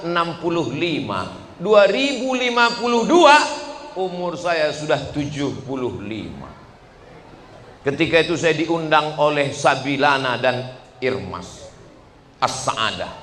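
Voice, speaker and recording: Man in his 40s, high bright preaching voice, rhetorical and swinging loud to hushed, recorded in a long-echo hall. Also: slight background noise. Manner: rhetorical, asks the crowd questions, swings between loud and hushed. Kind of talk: lively sermon to a crowd. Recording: echoey hall with faint ambience.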